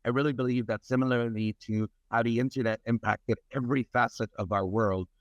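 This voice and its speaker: A man's voice: mid-range voice, steady tone, a little fast, no room sound. A middle-aged man from Germany, speaking as an interview guest in an earnest, expansive way, often repeating words as he builds his sentences. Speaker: interview guest, technology advisor. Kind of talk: conversational interview. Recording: dull lo-fi recording.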